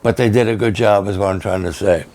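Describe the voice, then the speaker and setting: An older male pastor with a raspy, hoarse voice, talking plainly and haltingly to his supporters. He often pauses and repeats himself. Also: on the low side, fairly even intonation, a little mellow. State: hoarse after illness and a long program. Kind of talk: slow personal update to supporters.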